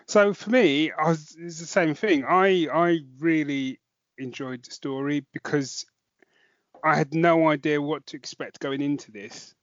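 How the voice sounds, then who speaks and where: A man's voice: a little high, a little slow, no room sound, dull audio. A man co-hosting a podcast, chatting casually about a review and thinking aloud as he goes. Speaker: podcast co-host.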